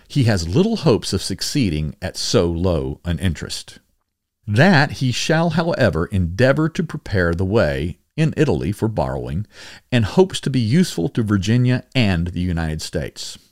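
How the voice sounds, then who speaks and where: A man with a slightly low voice reads old correspondence as a formal podcast narration. His delivery is measured and oratorical, with wide, animated rises and falls in pitch. The recording is dry and close, free of room sound. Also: no room sound. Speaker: podcast host reading historical letters aloud. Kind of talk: formal scripted reading of 18th-century letters.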